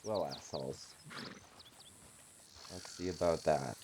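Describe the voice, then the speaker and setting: A man's voice: extremely low pitch, slow, mellow, a little hiss or hum. A man narrating a game playthrough, switching between casual commentary and reading in-game text aloud. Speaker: gamer narrating own playthrough.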